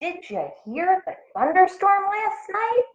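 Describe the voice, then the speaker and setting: A woman voicing a polite, excitable otter in a storybook, chirpy and courteous. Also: very high voice, slow, echoey room, voice pushed up, heavily acted. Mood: excited. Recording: tinny phone-like audio.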